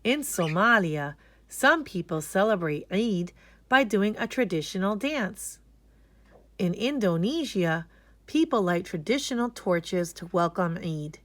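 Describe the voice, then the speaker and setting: A woman narrates a children's storybook. She reads slowly and deliberately, with very animated intonation. The recording is close and dry, with no room sound. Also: medium pitch, no room sound. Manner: expressive, deliberate. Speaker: storybook reader. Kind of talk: children's read-aloud narration.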